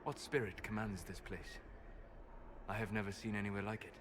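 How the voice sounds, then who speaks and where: An actor playing a calm, scholarly male mage who speaks in a measured, quietly awed way in a game cutscene. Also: fairly low pitch, noisy background, close to an everyday voice. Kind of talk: video game cutscene acting.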